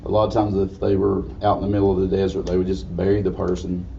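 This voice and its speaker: A man with a slightly raspy voice gives an informal history lecture in a folksy, halting way. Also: low-pitched, monotone, dark tone. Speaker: guest lecturer. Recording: distant mic, dull muffled sound, faint noise.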